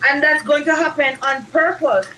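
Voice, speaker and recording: High-voiced woman explaining calmly. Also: normal speed, crowd noise, rough recording. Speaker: live-stream guest. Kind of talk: casual live-stream chat.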